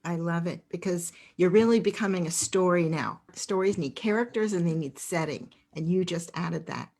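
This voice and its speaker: A woman hosting an educational presentation for teachers, speaking in an encouraging, expressive way. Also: fairly low pitch, normal speed. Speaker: host.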